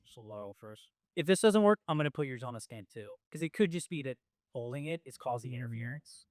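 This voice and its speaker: A man's voice: mid-range voice, lively intonation, fast, a little mellow, no room sound. A man hosting a podcast, chatting in an offhand, casual way while sorting out equipment. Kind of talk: casual chat. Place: podcast studio.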